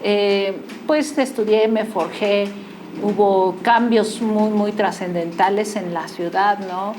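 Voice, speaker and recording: A woman's voice: mid-range voice, fairly even intonation, takes it slowly, darkish tone, slight background noise. A woman from Mexico City with a local Mexican accent, a guest in a casual interview, telling her story in a chatty way. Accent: Mexican Spanish, Mexico City. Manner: chatty, storytelling, laughs easily.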